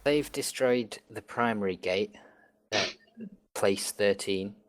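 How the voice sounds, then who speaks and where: A man playing a tabletop game over voice chat, calmly laying out tactics at length. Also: on the low side, takes it slowly. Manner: laid-back, explains tactics at length. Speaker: player.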